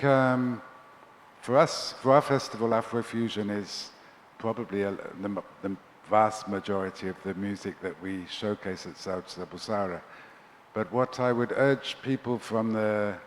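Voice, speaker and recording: A man's voice: lowish voice, fairly even intonation, unhurried. A man who organizes a festival, speaking as a panelist in an earnest, persuasive way. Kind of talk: panel discussion. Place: large conference hall. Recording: long hall reverb, faint background noise.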